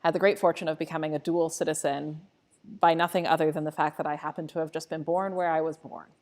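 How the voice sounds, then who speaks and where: Earnest woman, low-pitched, on a call. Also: flat delivery, quick pace, a little mellow. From Costa Rica. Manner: earnest, reflective. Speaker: church staff member. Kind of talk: live unscripted Q&A conversation.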